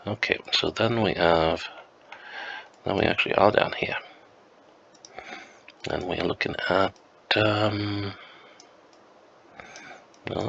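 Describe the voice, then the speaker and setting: A man with a flu, sounding croaky and sniffly, thinking aloud slowly and hesitantly as he plays a map game at home. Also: on the low side, pushed and bright, dull audio, a little hiss or hum. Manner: slow, musing, hesitant with many ums. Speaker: game streamer. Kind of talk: thinking-aloud gameplay commentary.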